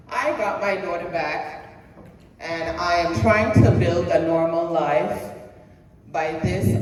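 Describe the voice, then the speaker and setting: A woman's voice: deep voice, a little mellow, a little hiss or hum. A woman in middle age delivers a personal motivational talk, taking her time over each phrase. She turns to the listeners with questions now and then. Her voice rings through a big reverberant hall, caught from far off. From Jamaica. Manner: slow, deliberate, asks the audience questions. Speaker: presentation speaker. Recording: echoey hall heard from a distance.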